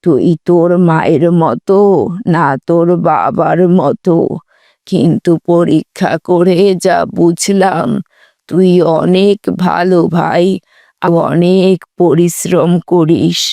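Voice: medium pitch, slow, warm tone, no echo, studio quality. A performer voicing a loving, pious elderly grandmother in a cartoon, affectionate and full of blessings. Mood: confessing. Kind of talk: animated moral story dialogue.

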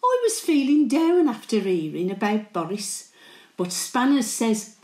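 An elderly, high-voiced Brummie gran. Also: female voice, sing-song, a little slow, a little mellow, roomy sound. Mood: gossipy. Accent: Birmingham (Brummie). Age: older. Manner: rambling, gossipy storytelling. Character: chatty working-class Brummie great-grandmother, cheeky and warm-hearted. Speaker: actor performing a dramatic monologue. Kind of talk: chatty character monologue.

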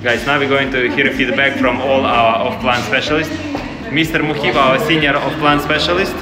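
A man's voice: medium pitch, steady tone, normal speed. A man hosting a casual property tour vlog from a phone camera, upbeat and repeating his remarks. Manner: upbeat, repeats phrases as he chats. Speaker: real estate vlogger, tour host. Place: unfinished apartment indoors, bare echoing rooms. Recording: echoey empty room, long reverb, music over it.

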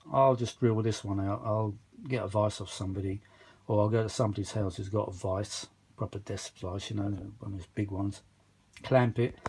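Husky man, low-key rambling chat. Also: darkish tone. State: tired. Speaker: vintage audio hobbyist vlogger. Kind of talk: casual rambling repair vlog chat. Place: indoors, small home workshop. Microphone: handheld phone or camera behind the lens.

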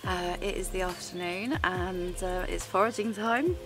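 A woman's voice: fairly low pitch, unhurried, music in the background. A woman in her thirties chatting casually and enthusiastically to camera as she hosts an outdoor vlog in the woods. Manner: enthusiastic, giggly. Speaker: vlog host, forager. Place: outdoors in a woodland.

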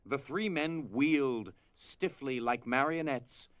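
Man, mid-pitched, scripted story narration, audio drama narrator, dry recording. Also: slow pace, no echo.

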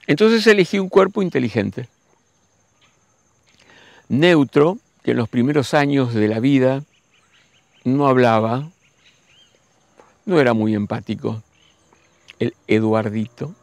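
A man, giving a slow, musing spiritual monologue and speaking straight to his listeners. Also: mid-range voice, gravelly, darkish tone, no room sound. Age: 60s. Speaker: spiritual teacher.

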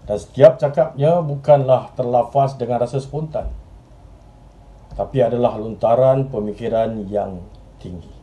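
A man acting an earnest, restless journalist in a dramatic stage monologue, speaking in deliberate literary phrases with pauses. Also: mid-range voice, muffled recording, natural voice. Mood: earnest, admiring. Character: earnest, restless male journalist reminiscing about his admired mentor. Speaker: stage actor. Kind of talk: theatrical monologue in Malay drama.

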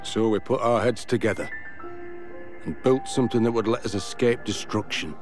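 A male actor as a battle-worn game veteran with a low voice. He lays things out bluntly, with dry, colloquial wryness, over clearly audible music. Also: moderate pace, close to an everyday voice. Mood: explaining, grave. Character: gruff older veteran fighter, blunt and wry, explaining history. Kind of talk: dramatic video game cutscene dialogue.

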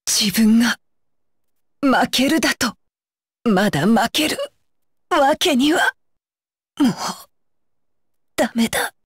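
A high, dry-recorded young warrior woman from an anime fighting game. She is stern and scornful, with widely swinging pitch and a deliberate, commanding delivery. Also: expressive, husky, no echo, heavily acted. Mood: bitter, defeated. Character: fierce, disdainful young shinobi warrior woman, dutiful and stern. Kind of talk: Japanese anime video game battle voice lines.

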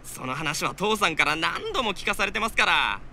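A man voicing a pushy, excitable young pilgrim in a game, theatrical and brash. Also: high-pitched, normal speed. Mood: indignant, suspicious.

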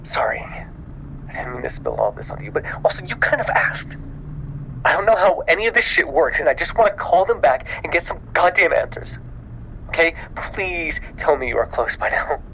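A man playing a panicked, exhausted newly turned vampire in an ASMR audio roleplay, stammering and often dropping into a breathy whisper. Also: on the high side, big pitch swings, bright, forceful tone, full character voice, low-quality recording. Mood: panicked, agitated. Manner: halting, stammering, breathy sighs and frequent swearing.

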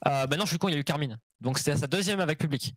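A man's voice: fairly high pitch, racing, a bit bright, no room sound. Casting note: male pro gamer, casual and slangy, dry close mic. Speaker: esports player. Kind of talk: post-match interview.

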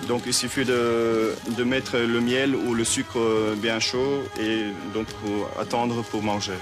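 Man explaining under loud music. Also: medium pitch, level tone, slow. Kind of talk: showing and explaining.

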